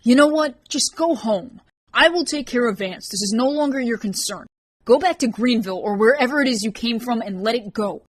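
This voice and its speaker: A woman's voice: a little high, lively intonation, fairly quick, slightly rough, dry recording, pitched above the performer's own voice. Brief: stern bossy young man, read by a woman, clipped orders, dry studio read. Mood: angry commanding. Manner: clipped, commanding.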